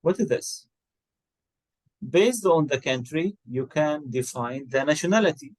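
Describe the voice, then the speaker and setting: A man's voice: a little high, expressive, unhurried, darkish tone. A man, teaching an English lesson and patiently coaxing and correcting his student's answers. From Egypt. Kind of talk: one-to-one online English lesson.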